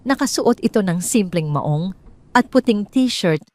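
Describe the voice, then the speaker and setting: A woman's voice: medium pitch, lively intonation, unhurried, a little mellow. A woman with a Filipino accent reading a scripted dramatic story as the narrator.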